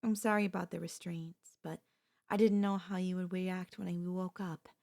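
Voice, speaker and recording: An obsessive young woman who dotes on her captive childhood friend, acted out by a woman for an ASMR audio drama. Her voice sits a little low for a woman. She speaks softly and intimately, with a clingy, pleading, possessive devotion. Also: steady tone, moderate pace, creaky. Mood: soothing, possessive.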